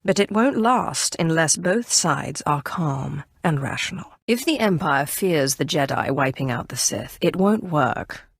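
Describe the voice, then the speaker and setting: Casting: cool-headed female Sith sorceress in a game, imperious yet idealistic; lively intonation, dry close studio sound. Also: sits low, vocal fry, slightly rough, no room sound, heavily acted. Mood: earnest, firm. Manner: poised, measured, imperious. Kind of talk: scripted video game dialogue acting.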